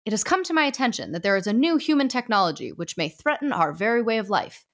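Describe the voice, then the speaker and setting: A woman's voice: fairly high pitch, very animated, fast, pitched above the performer's own voice. A woman voicing a pompous, stern male ghost knight who presides over a meeting like a judge, speaking formally. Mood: stern officious.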